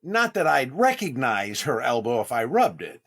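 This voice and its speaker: An actor playing a vain, eager, easily flustered comedian in 1930s radio comedy style, with drawn-out exclamations and stammering. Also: male voice, medium pitch, very animated, a bit bright.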